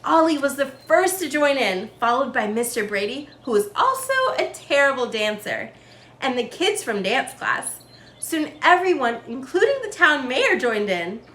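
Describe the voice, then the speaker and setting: A woman storyteller reading a children's book aloud in an expressive style, leaning on key words. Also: high-pitched, moderate pace, reverberant. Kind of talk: animated children's story narration.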